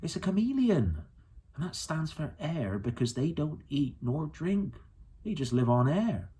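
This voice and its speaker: A man voicing a wise, wary old boatman in an audiobook, gruff but kindly, speaking in rustic dialect. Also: a little high, very animated, a little slow, mellow, pitched above the performer's own voice. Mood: dawning realisation. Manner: rustic dialect with nonstandard grammar.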